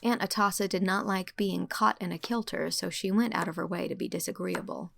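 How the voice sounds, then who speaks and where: A woman's voice: mid-range voice, fairly even intonation, fairly quick, vocal fry, a bit bright, no room sound. A woman narrator reading a scripted storybook in an audiobook style.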